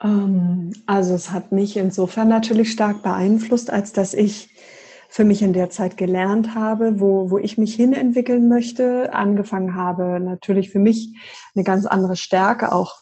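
Woman, from Berlin, middle-aged, husky, warm tone, measured interview talk. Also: mid-range voice, fairly even intonation. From Berlin, Germany. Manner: measured, articulate, occasional fillers. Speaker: guest, leadership coach. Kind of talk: conversational interview.

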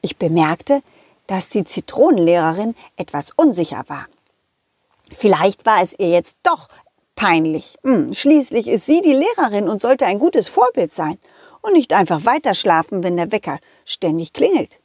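A woman's voice: mid-range voice, very animated, moderate pace, a little mellow, husky, no room sound, clean studio sound. A woman voicing a cheerful, trusting male dog who chattily tells children's stories in a childlike, direct way. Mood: excited storytelling. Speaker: storytelling puppet character, host. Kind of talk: lively children's audio story narration.

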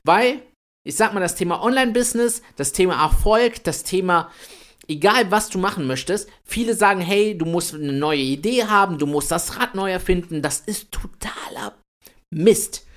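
A man in his late twenties co-hosting a podcast, talking in a chatty, energetic way about building an online business. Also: high voice, normal speed. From Italy. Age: 20s. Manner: energetic, chatty, swears lightly. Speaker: podcast co-host. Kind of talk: casual podcast chat.

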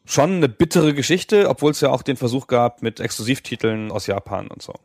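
A man in a casual podcast discussion, recounting events in a measured, reflective way. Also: mid-range voice, lively intonation, a little mellow, dry recording, studio quality.

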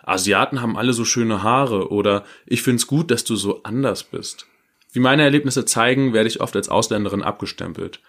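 A man with a fairly low voice co-hosts a casual talk podcast. He speaks bluntly and informally, addressing listeners directly. When he reads listeners' stories aloud, he keeps a steady, even delivery. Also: normal speed. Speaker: podcast co-host.

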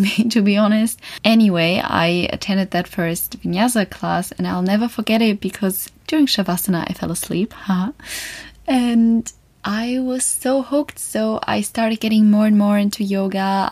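A woman's voice: mid-range voice, gravelly, darkish tone. A woman in her twenties with a German accent, a native German speaker, hosting a casual podcast from a small wardrobe, chatty with frequent ums. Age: 20s. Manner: chatty, laughs between phrases, frequent ums. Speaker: podcast host. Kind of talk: casual solo podcast talk.

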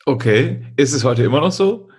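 A man's voice: medium pitch, lively intonation, moderate pace, a little mellow, slightly rough. A man hosting a podcast, giving an upbeat, chatty introduction and asking short questions. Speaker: podcast host. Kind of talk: podcast interview.

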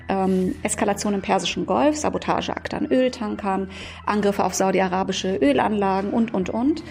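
A woman's voice: medium pitch, gravelly, slight background noise. A woman in her thirties, born in Iran and raised in Germany, answering interview questions as a researcher with fluent explanations and frequent fillers.

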